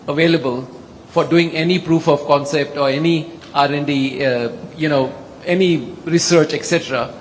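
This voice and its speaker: A middle-aged or older man with an Indian accent, formally and deferentially addressing a leader from the audience as an executive. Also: on the high side. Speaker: tech executive speaking from the audience. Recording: echoey hall with background noise.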